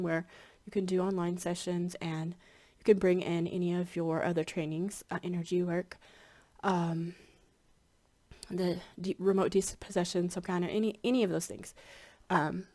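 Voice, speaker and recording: A woman's voice: fairly low pitch, a little mellow. A woman, a spiritual hypnotherapy practitioner, chats casually to her viewers, a little congested with a slightly scratchy voice, rambling with many ums and restarts. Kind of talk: casual chat to camera.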